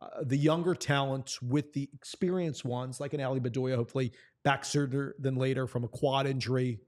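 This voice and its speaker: A man's voice: mid-range voice, fairly even intonation, a little fast. A man who works as a play-by-play announcer, talking earnestly and supportively about players in a sports conversation.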